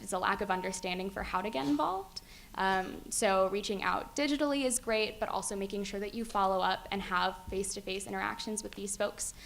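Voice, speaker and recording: A woman's voice: medium pitch, creaky, a bit bright. A young woman on a conference panel, answering thoughtfully with frequent ums and easy laughter. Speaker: youth activist panelist. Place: conference hall stage. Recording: faint background noise.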